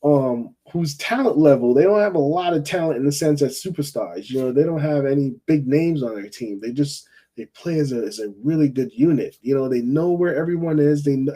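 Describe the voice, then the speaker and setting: A man's voice: fairly high pitch, moderate pace, warm tone. Chatty man hosting football talk. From Jamaica. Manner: chatty, rambling, repeats himself, says you know often. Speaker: fan call-in show host. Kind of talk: casual football chat.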